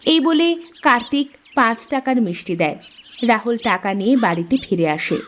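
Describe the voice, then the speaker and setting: Female narrator for cartoon fairy tale, high and expressive, slight noise behind. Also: normal speed. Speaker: storyteller.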